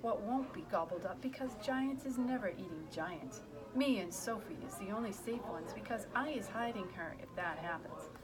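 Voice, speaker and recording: A woman's voice: mid-range voice, lively intonation, normal speed, a crowd in the background, natural voice, poor audio. A woman voicing a big, kindly, sly male giant in a storybook, with quaint broken grammar. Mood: confident, amused. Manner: quaint ungrammatical speech.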